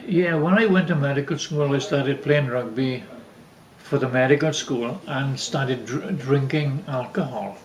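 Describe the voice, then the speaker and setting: An older man casually telling family stories in a halting, rambling way. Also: mid-range voice, reverberant, rough recording. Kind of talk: casual reminiscing.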